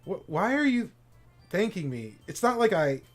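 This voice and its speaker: A man's voice: high-pitched, big pitch swings, normal speed, soft background music. A man voicing a prickly, defensive teenage girl who answers in huffy, snappish bursts.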